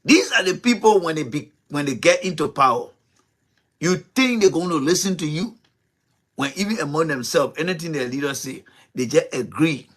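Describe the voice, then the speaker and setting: A man's voice: a little high, expressive, moderate pace, fairly bright tone, husky. A man hosting a political commentary show, talking with passion, throwing out rhetorical questions and repeating his points. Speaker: host.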